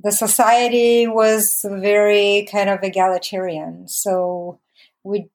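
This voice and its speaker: A woman's voice: mid-range voice, level tone, slow, darkish tone, poor audio. A woman, recalling her life conversationally as an interview guest, in a hesitant, reflective way. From Bulgaria. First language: Bulgarian. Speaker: interview guest, documentary filmmaker. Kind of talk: conversational personal recollection.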